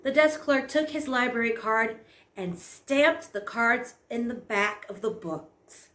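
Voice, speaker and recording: A woman narrating a children's story as a storytime host, in a measured, expressive read-aloud manner. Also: a little high. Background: prominent piano music score.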